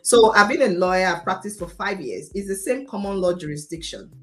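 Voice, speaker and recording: A woman's voice: medium pitch, expressive, brisk, faint music behind. A Nigerian woman with a confident, emphatic, passionate way of talking, telling her own story as an interview guest. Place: remote video call.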